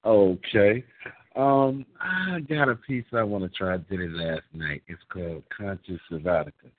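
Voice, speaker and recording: A man calling in to a poetry show, hesitant with lots of pauses before his piece. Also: on the low side, no echo. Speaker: caller poet. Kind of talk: casual chat before a poem. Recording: tinny phone line from off the mic.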